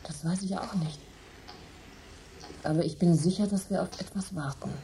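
A haunted woman in a radio drama, played by an actress, speaks in a low, dark, mellow voice that trembles and drops to whispers. She stammers and hesitates as fear takes hold. A faint hiss sits under the voice. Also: roomy sound, close to an everyday voice. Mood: dazed. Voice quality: trembling, halting, often whispering. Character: frightened woman possessed by a past spirit. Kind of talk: radio play acting.

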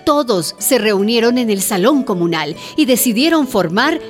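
Animated woman narrator over clear music. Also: fairly high pitch, moderate pace. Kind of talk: scripted radio drama narration.

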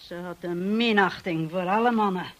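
An actress playing a cold, proud, devoted housekeeper in a radio play, clipped, guarded and disdainful. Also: female voice, fairly low pitch, moderate pace, close to an everyday voice. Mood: cold, defiant. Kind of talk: Dutch radio drama acting.

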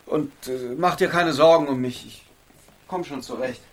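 An actor playing a defeated, self-pitying but affectionate middle-aged village man in a radio drama, his voice gravelly and husky. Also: mid-range voice, moderate pace, close to an everyday voice. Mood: apologetic. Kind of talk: naturalistic radio drama acting.

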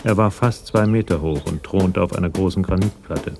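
An actor playing the sober, detached narrator of a German radio crime drama. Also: male voice, low-pitched, normal speed, music in the background. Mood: sober, tense.

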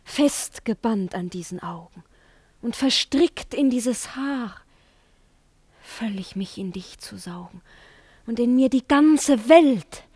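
A seductive witch, desperate and clinging, in a classic radio play. Her voice is fairly high and her verse is drawn out slowly. She shifts theatrically from breathy whisper to fierce cry. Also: expressive, darkish tone, no echo, heavily acted. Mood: hushed, seductive. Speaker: radio drama actress. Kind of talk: classic German verse radio drama acting.

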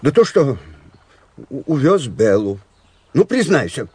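An actor playing an older, stiffly official yet kindly staff captain with a husky voice in a radio drama. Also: male voice, mid-range voice, big pitch swings, unhurried, darkish tone, no room sound, heavily acted. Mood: reproachful. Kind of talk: Russian radio drama acting.

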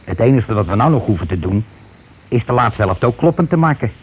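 An actor playing a scheming, irritable husband in a radio play, speaking insistently and colloquially. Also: male voice, on the low side, lively intonation, a little fast, slightly rough, dull audio. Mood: calculating, irritated. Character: scheming, irritable husband covering his tracks. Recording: hissy old radio recording.

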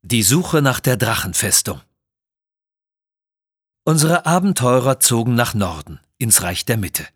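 A man narrating a scripted children's audio story with measured, expressive reading. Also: medium pitch, breathy edge, dry recording, clean studio sound.

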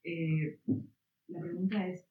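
A woman's voice: lowish voice, steady tone, slow pace, slight background noise. Woman speaking from far across an echoey hall. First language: Guaraní. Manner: meandering, searching for words. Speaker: audience member asking questions. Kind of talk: long spontaneous question at a public debate. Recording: distant, echoey, phone-like audio.

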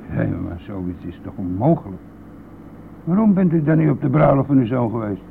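An actor playing a cheeky, nosy, grumbling village bus driver in a radio play, with a teasing, blustering manner. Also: male voice, mid-range voice, sing-song, normal speed, creaky. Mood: prying. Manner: teasing, blustering, chuckling at own jokes. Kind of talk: Dutch radio play acting. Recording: tinny narrow-band old radio recording, vehicle noise.

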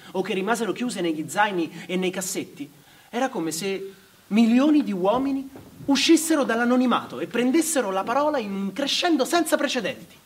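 A woman narrator reading a scripted historical documentary text in a measured, literary manner. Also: mid-range voice, slight background noise. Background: violin music.

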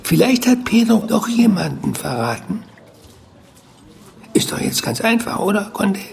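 An actor playing a sly older Chinese-Cuban man who speaks in cryptic, deliberate proverbs in a radio play. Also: high voice, very animated, creaky, a little hiss or hum, heavily acted. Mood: sly, cautioning. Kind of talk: German radio drama acting.

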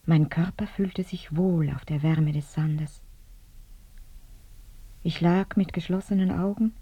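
A woman's voice: fairly low pitch, fairly even intonation, slow pace, dark tone, husky. An actress in a radio play playing a grieving, dreamy woman lost in sensual memory, speaking intimately and breathily, often close to a whisper. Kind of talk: radio drama monologue.

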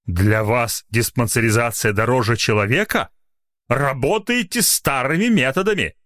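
Guy doing a rude caller in an audio story, gruff and spiteful, no manners, kinda threatening. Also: mid-range voice, moderate pace, fairly bright tone, dry recording, studio quality, pitched above the performer's own voice, natural voice. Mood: reproachful. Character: rude, brusque male caller menacing a doctor.

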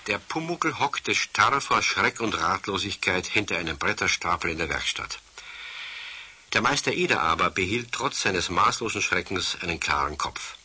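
A man narrating a children's radio play as a lively storyteller with a husky voice. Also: deep voice, moderate pace, full character voice. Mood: dramatic storytelling. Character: male storyteller of a children's tale.

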